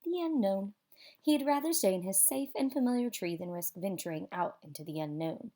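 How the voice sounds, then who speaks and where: A woman reads a children's picture book aloud in an expressive, theatrical way, with dramatic pauses and emphasis. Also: medium pitch.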